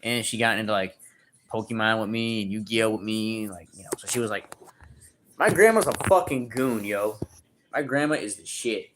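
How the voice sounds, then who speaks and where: A man in his early thirties livestreaming, reading chat aloud and joking crudely, with sudden loud outbursts. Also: lowish voice, normal speed, noisy background. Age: 30s.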